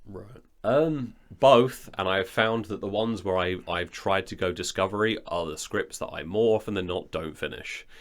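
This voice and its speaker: Low-voiced man in casual podcast chat. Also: moderate pace, few pauses. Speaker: podcast co-host and audio drama writer. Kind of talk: casual conversation.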